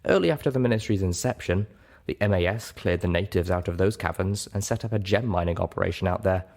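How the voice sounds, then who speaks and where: A man's voice: sits low, fast, gravelly, a little mellow. A man voicing a knowledgeable female pony guide in a storybook reading, explaining things in a matter-of-fact way.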